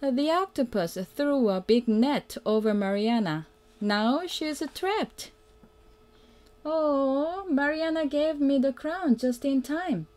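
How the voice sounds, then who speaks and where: A woman reading a children's picture book aloud as a mother would, in a simple, deliberate way that invites the young listener to join in. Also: high voice, expressive, darkish tone. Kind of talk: read-aloud children's storytelling.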